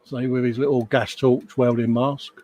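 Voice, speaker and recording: A man's voice: mid-range voice, little pitch movement, normal speed, dark tone, dry recording. A man co-hosting a live hobby stream, chatting casually about his models and reading out viewer comments. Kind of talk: casual chat.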